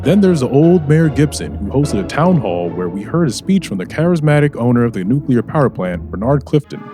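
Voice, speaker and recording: A man hosts and narrates a scripted radio drama like a theatrical announcer. His medium-pitched voice moves in lively swings over a clear music bed. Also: brisk. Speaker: host and narrator.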